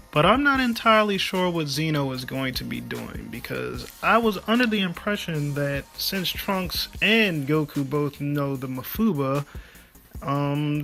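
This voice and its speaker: A man giving an excited, casual review as a video commentator. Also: fairly high pitch, creaky. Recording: music behind the voice. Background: background music.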